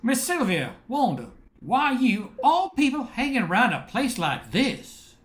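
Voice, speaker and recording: A man's voice: extremely high pitch, sing-song, measured pace, fairly bright tone, exaggerated voice. An older man playing a police officer in an amateur radio play. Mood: surprised. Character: police officer on a poisoning case.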